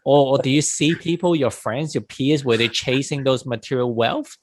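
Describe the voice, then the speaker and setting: A man's voice: mid-range voice, moderate pace, darkish tone. A man from Hong Kong hosting an interview in a casual, conversational way, full of "like" and "um". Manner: casual, many "like" and "um" fillers. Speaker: host.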